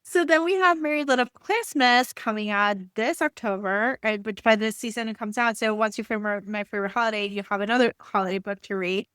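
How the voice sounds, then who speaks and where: A woman's voice: a little high, fairly quick, few pauses, fairly bright tone, clean tone, no room sound, studio quality. A woman hosting a casual, chatty book interview in an enthusiastic, gushing way. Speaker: podcast host interviewing an author.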